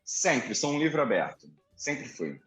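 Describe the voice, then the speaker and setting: A man's voice: mid-range voice, measured pace, soft background music. A man in his thirties from Rio de Janeiro with a Carioca accent, chatting reflectively as an interview guest over a video call. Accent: Carioca Brazilian Portuguese, slightly softened. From Rio de Janeiro, Brazil. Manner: chatty, reflective, animated asides. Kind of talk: relaxed conversational storytelling. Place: apartment indoors. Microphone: headphones with mic on a video call.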